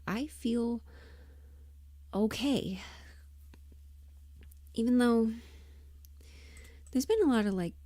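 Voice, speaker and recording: A woman livestreamer chatting casually and thinking aloud in an unhurried, musing way, often trailing into half-whispers. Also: mid-range voice, warm tone, dry recording, clean studio sound. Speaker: audio roleplay performer and livestream host.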